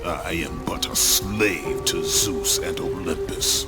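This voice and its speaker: An actor playing a grim, bitter Spartan warrior in a video game cutscene, speaking harshly and defiantly. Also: male voice, sits low, takes it slowly. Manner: harsh, defiant, weary of the gods. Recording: with loud music behind.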